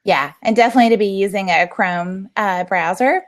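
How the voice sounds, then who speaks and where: A woman speaks as the host of an online meetup, at a middle pitch. Her delivery is casual and chatty, like someone running an informal live video chat. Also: a little fast.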